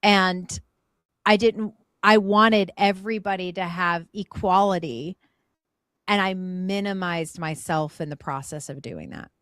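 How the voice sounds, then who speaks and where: A woman's voice: on the low side, unhurried, a bit bright, no room sound, clean studio sound. A woman talking as a guest in a candid conversation, earnest and reflective, often restarting and repeating her words.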